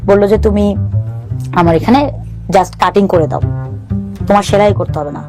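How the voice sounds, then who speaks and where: A woman, telling her life story as a talk show guest in an earnest, halting way, often restarting her sentences and mixing in English words. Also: medium pitch, over music.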